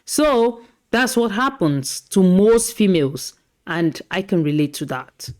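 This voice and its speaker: A woman's voice: mid-range voice, expressive, measured pace, darkish tone. A woman hosting a podcast, reacting to clips in a casual, chatty way and often repeating herself. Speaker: podcast host.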